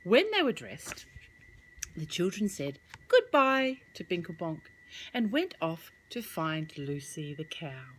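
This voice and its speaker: A woman reading a children's storybook aloud as storyteller, with expressive, measured delivery. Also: fairly low pitch, a little hiss or hum, poor audio.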